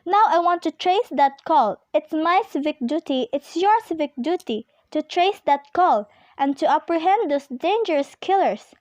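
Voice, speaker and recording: A woman's voice: high voice, lively intonation, moderate pace, dry recording. A woman in an amateur radio play acting an anxious, demanding invalid wife, fretful and imperious, stammering at times. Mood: indignant, impatient.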